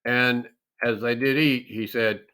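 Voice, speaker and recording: Male scripture reader: solemn and deliberate, very unhurried, with a bright, forceful tone and dramatic weight. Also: medium pitch, steady tone.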